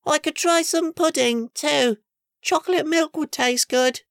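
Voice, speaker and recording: A woman's voice: high-pitched, vocal fry, dry recording, clean studio sound, voice pushed up. A woman doing a feeble sick little prince. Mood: weak, whiny. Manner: weak, drawn-out whine. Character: sick young boy prince, feeble and fussy.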